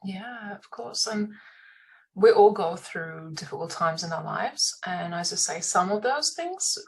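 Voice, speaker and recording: A woman's voice: mid-range voice, expressive, unhurried, husky, low-quality recording. A woman with a Lithuanian accent, speaking earnestly and conversationally as a guest in a motivational interview. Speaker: podcast guest, entrepreneur.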